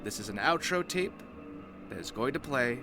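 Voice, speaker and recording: A man's voice: medium pitch, expressive, normal speed, over music. A man hosting a channel announcement, explaining his roleplay series to viewers in emphatic short phrases. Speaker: roleplay series creator and host.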